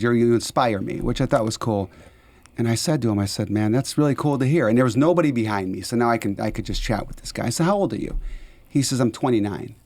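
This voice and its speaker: Low-voiced man of fifty, casual podcast host. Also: a little mellow, dry recording. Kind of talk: casual chat, anecdotal.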